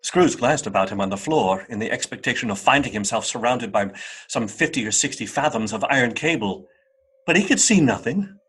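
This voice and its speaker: A man narrating a classic story for a radio play in a measured, dramatic storytelling manner. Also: medium pitch, lively intonation, fairly bright tone, breathy edge.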